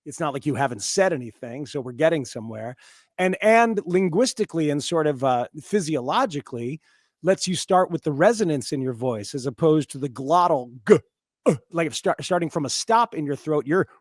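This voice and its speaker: A man's voice: fairly high pitch, expressive, fairly quick, no room sound, studio quality. A middle-aged man hosting a sports podcast, interviewing conversationally in a wry, teasing, wordy way.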